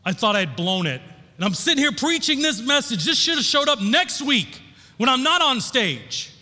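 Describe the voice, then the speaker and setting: Bright, high-voiced male preacher in a reverberant hall. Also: very animated, normal speed. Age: middle-aged. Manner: informal, folksy, candid. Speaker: pastor. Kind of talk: sermon with personal storytelling. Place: large church auditorium, on stage. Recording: long hall reverb from sound system.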